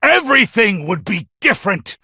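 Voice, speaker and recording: A deranged anime game villain with a god complex, sneering with open contempt. His voice is high for a man and rough with gravel, swinging wildly between menace and screams. He draws his words out theatrically. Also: sing-song, moderate pace, sharp tone, dry recording, clean studio sound, heavily acted. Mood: bitter brooding.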